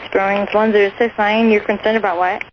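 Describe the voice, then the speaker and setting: A woman air traffic controller speaks over a muffled radio channel with faint noise. Her clearances come in clipped phraseology, halting and often repeated. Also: mid-range voice, level tone. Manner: halting, repetitive. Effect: aviation radio.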